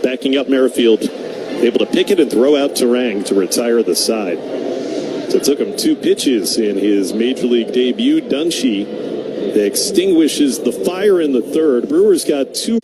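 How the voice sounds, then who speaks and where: A male radio announcer gives live baseball play-by-play at a medium pitch. His voice sits over clear ballpark-style broadcast noise. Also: expressive, a little slow.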